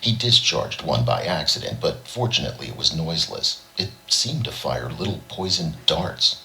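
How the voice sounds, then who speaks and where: A man's voice: rumbling low, big pitch swings, normal speed, pushed and bright, low-quality recording. A man reading a scripted short story as an audiobook narrator. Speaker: audiobook reader.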